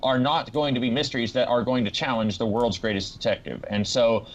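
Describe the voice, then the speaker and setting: A man's voice: mid-range voice, fairly even intonation, brisk. A man giving his opinions in a casual, informal way as a podcast co-host. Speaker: podcast co-host reviewing comics. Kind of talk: casual discussion. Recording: muffled lo-fi mic with background noise.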